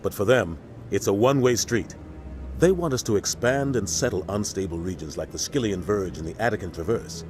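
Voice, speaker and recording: An actor playing a middle-aged veteran military captain in a video game, earnest, weighty and plain-spoken. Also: male voice, on the low side, expressive, a little fast, close to an everyday voice. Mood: explaining. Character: grave veteran military captain, male, protective and embittered. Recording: faint music behind the voice.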